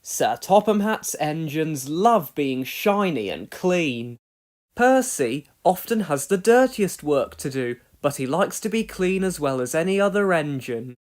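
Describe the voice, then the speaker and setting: A storyteller reading a scripted children's read-along with expressive delivery. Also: medium pitch, unhurried. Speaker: storybook narrator.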